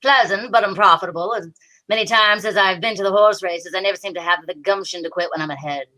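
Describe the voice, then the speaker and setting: A woman's voice: mid-range voice, fast talker, sharp tone, voice pushed down, close to an everyday voice, poor audio. A woman playing a genteel, fussy detective's sidekick with an arch manner in an amateur old-time radio play. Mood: arch, pleased.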